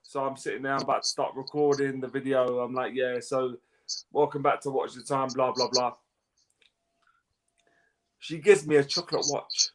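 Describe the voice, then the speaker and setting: A man co-hosting a casual chat, telling an animated, slangy story. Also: mid-range voice, normal speed, pauses often. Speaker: co-host.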